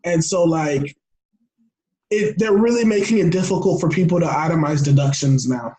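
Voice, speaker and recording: A young American man talking casually as an interview guest, excitable and rambling, often restarting his phrases. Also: fairly high pitch, moderate pace, low-quality recording. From Florida, United States. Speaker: podcast guest.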